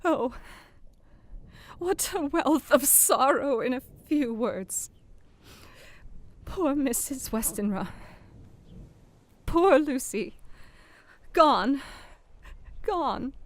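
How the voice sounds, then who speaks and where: A woman's voice: sits high, heavily acted. An actress playing a sorrowful young Victorian wife, tenderly and mournfully reading her journal in an audio drama.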